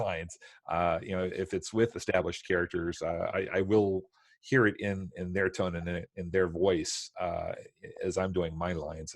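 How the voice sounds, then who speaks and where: A man with a deep, creaky, rough-edged voice speaks informally in a cast chat. The sound is close and dry. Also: no echo. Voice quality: gravelly, husky. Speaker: amateur voice actor. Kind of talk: casual panel chat.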